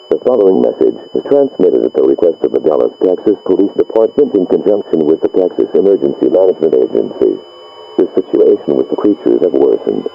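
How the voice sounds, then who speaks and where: A man's voice: very deep voice, gravelly. A man reading a scripted emergency alert announcement in a muffled broadcast recording. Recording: muffled lo-fi broadcast, faint music behind.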